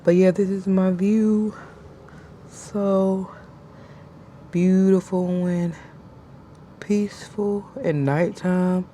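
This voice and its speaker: A woman in her thirties chatting casually to her followers in a skincare vlog. She stutters at times, mispronounces some words, and slows her speech down on purpose. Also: medium pitch, fairly even intonation, dark tone, slight background noise. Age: 30s. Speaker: vlogger. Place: hotel room, indoors.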